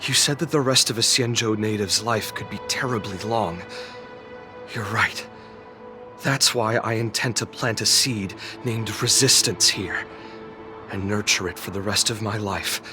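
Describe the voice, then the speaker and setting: An actor playing a weary, idealistic resistance fighter in a video game, speaking earnestly and with measured resolve. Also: male voice, on the low side, over music, close to an everyday voice. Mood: calm resolve. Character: stranded male warrior, weary, steadfast, idealistic resistance fighter. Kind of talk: dramatic video game voice acting.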